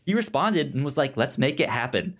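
A man's voice: mid-range voice, lively intonation, fairly quick, fairly bright tone. A man co-hosting a podcast in casual chat, stammering and often repeating words and syllables. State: short on sleep. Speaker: podcast co-host.

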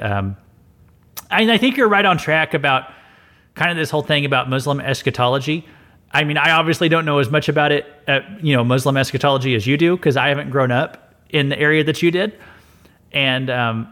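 A man's voice: mid-range voice, a bit bright. Earnest male podcast host answering mail. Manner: earnest, hesitant, many fillers and restarts. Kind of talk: casual mailbag commentary.

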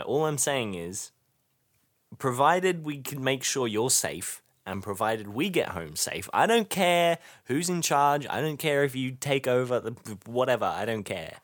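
Man with animated, swooping pitch. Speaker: player in an improv role-play show. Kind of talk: in-character improv banter.

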